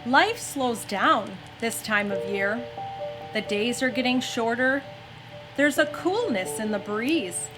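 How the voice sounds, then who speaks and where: A woman reading a children's story aloud in an expressive, gentle storytelling manner. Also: fairly high pitch, takes it slowly, rough recording. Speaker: mother reading aloud on a family story-time show. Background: background music.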